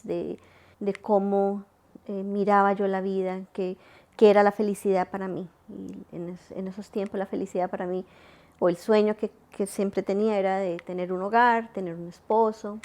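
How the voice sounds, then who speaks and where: A woman from Colombia, a grandmother, telling her personal faith story slowly and hesitantly, with many pauses and restarts. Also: medium pitch, little pitch movement, darkish tone. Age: older. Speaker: testimony speaker.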